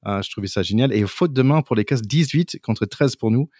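A man who is not a native French speaker, co-hosting a sports podcast in informal, somewhat halting casual chat. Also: mid-range voice, expressive, a little mellow, dry recording, clean studio sound. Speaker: rugby podcast co-host.

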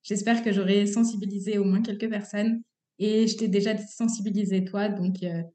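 Mellow-voiced woman guest in a roomy space. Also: medium pitch, little pitch movement, normal speed. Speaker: podcast guest, communication trainer. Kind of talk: casual interview.

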